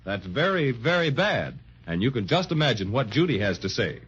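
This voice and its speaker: Male announcer, lively swooping delivery, slightly husky, avuncular storyteller, muffled vintage radio recording. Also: mid-range voice, normal speed. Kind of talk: 1930s children's radio scripted narration.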